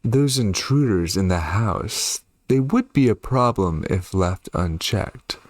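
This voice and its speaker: A man voicing a darkly polite, menacing witch villain in a horror game, measured and theatrical. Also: fairly low pitch, animated, no room sound, studio quality. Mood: cool, calculating. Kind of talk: reading game dialogue in character.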